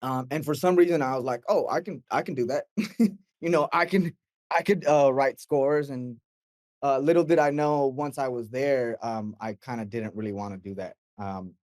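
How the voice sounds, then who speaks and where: A young man from Mexico talks through his path into audio engineering in a loose, friendly interview. He tells it as a rambling story, slipping into lively quoted voices. His voice sits at an ordinary pitch, and the sound is close and dry with no room echo. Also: normal speed. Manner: relaxed storytelling, animated quoting with filler words. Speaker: guest, mix engineer. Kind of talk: casual interview chat.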